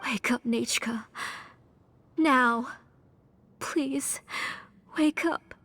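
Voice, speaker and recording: A woman playing a grieving, obsessed mother in a dramatic video game, speaking in a measured, brooding way. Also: high-pitched, fairly bright tone, no room sound, exaggerated voice. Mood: tender, pleading. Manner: measured, brooding, tenderly fixated. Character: grieving, obsessed mother, retired name forger. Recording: faint music behind the voice.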